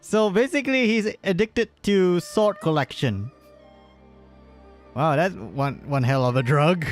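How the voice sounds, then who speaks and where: A man's voice: on the high side, expressive, measured pace. A man live-streaming with casual, joking, informal gameplay commentary and hesitant phrasing. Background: game music.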